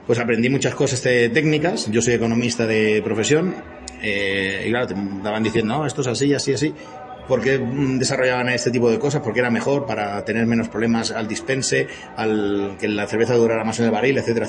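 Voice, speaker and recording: A man in his fifties from Spain with a Spanish accent, explaining things conversationally as an interview guest in a wordy, hesitant manner. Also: mid-range voice. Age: 50s. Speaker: interview guest, company CEO.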